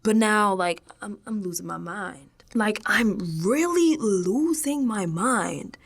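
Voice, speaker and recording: An actress playing a troubled, defiant young American woman who is unravelling, confiding her story in a dramatic radio monologue that swings from hushed to emphatic. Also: medium pitch, lively intonation, slow. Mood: bewildered, distraught. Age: young adult.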